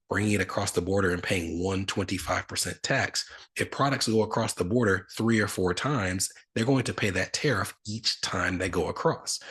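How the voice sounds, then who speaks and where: A man's voice: fairly low pitch, fairly bright tone, breathy edge. A man hosting a finance podcast, explaining things in a casual, conversational way with asides. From United States. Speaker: podcast host.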